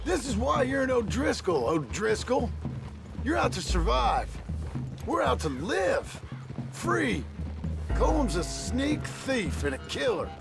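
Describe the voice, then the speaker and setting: Gravelly, scornful American outlaw gunslinger. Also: male voice, extremely high pitch, big pitch swings, slow, music in the background, heavily acted. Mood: indignant, proud. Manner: scornful, needling. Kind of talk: cinematic video game acting.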